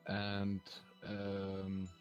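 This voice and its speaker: A man running a tabletop game, pausing often to hunt for his words. He speaks slowly in a deep, low voice. The sound is dull and lo-fi, with faint music underneath. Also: completely flat delivery. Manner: hesitant, lots of ums and uhs. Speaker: game master.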